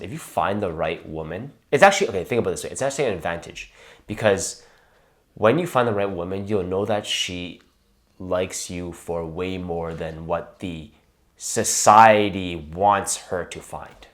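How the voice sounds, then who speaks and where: A young man with a notably low voice talks through his opinions in an easygoing, explanatory way. He laughs easily and keeps the tone of a relaxed chat between partners. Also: moderate pace. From Canada. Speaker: boyfriend co-host. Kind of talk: casual couple chat.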